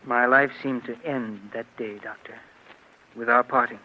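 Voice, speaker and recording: A man's voice: medium pitch, steady tone, measured pace, over loud music, natural voice. An actor playing a tormented, scheming husband confessing his story in a melodramatic old-time radio drama style. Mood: brooding, confessional. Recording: muffled, noisy old radio recording.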